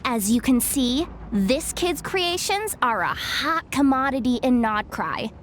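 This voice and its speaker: A woman's voice: high voice, animated, measured pace, slight background noise. A woman voicing a street-smart young female informant in a video game cutscene, wry, self-assured and conversational. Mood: scolding, firm. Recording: music behind the voice.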